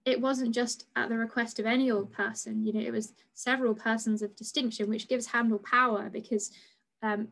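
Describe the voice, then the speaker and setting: A young woman gives an online lecture from a small room, her slightly high voice picked up by a webcam mic. She talks hesitantly, stopping often with fillers and restarting her sentences. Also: low-quality recording. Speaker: PhD researcher giving a talk. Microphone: video call webcam.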